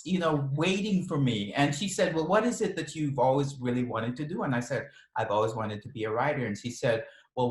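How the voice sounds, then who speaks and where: Echoey video call, chatty man with mixed accent. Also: medium pitch. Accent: mixed Ghanaian, British and Californian. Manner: chatty, laughs often, many restarts and ums. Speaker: author guest. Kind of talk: relaxed storytelling in a video interview.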